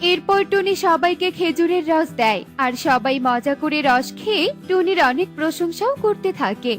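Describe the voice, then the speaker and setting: Woman narrating a cartoon story. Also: sits high. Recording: with light background music.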